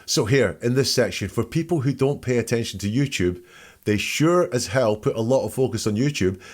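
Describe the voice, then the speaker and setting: A man's voice: mid-range voice, expressive, moderate pace. A man hosting a podcast, giving informal, wry analytical commentary and reading out quotes to pick them apart. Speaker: podcast host.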